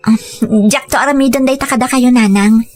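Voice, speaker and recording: A modest young daughter-in-law in an audio drama, played by a woman. Her voice is fairly high and deferential, with faint music behind. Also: a little fast, darkish tone, close to an everyday voice. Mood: modest, questioning.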